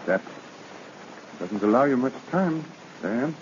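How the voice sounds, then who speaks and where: An actor playing a proud, wounded husband in an old radio drama, with a formal, commanding manner. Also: male voice, medium pitch, lively intonation, takes it slowly. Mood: resigned, controlled. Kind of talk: 1930s radio drama acting. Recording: muffled, noisy old radio broadcast recording.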